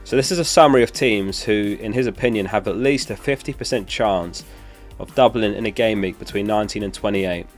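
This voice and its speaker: Low-voiced man chatting on a stream. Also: brisk, few pauses, faint music behind. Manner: relaxed, answers chat questions. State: recovering from a lost voice. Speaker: streamer host. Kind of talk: casual live stream chat.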